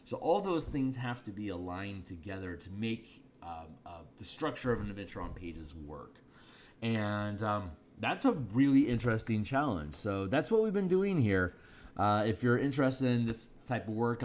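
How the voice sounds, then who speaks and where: Middle-aged guy live streaming his art, deep low voice, kinda tired, lots of ums, faint background noise. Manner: rambling, with frequent fillers and restarts. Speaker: illustrator and game designer streaming his work. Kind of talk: casual live-stream talk while working.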